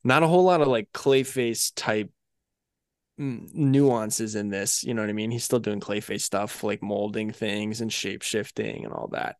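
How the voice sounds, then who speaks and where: A man's voice: fairly low pitch, moderate pace, no room sound, studio quality. A man co-hosting a podcast, talking casually and conversationally as he reviews episodes, with many filler phrases. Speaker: podcast co-host.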